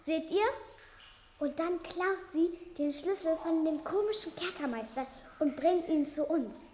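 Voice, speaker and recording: A woman's voice: very high-pitched, measured pace, dark tone, a little hiss or hum. An actress playing a plucky, cheeky young girl who wants to be a pirate, bold and exclamatory, in a children's radio play. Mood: confident. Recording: echoey scene with music behind.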